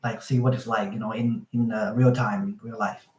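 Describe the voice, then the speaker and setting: A man's voice: lowish voice, normal speed. A man, chatting casually over a roomy video call. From Thailand. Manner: relaxed, chatty, many fillers like "like" and "you know". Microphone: phone on a video call, no external mic.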